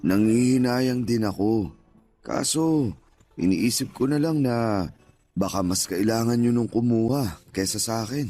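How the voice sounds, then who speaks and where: An actor playing a gentle, devout older male street vendor in a radio drama, humble and reflective. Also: medium pitch, a little slow, long pauses, close to an everyday voice. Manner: humble, reflective, plain-spoken. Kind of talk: Tagalog radio drama acting.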